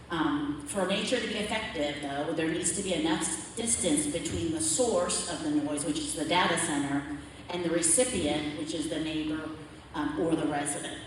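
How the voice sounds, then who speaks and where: Low-voiced woman presenting in an echoey hall. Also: distant mic, slight background noise. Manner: plain explanatory, asks "okay?" to check in. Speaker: community forum presenter. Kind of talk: public presentation with slides. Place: large echoey meeting hall.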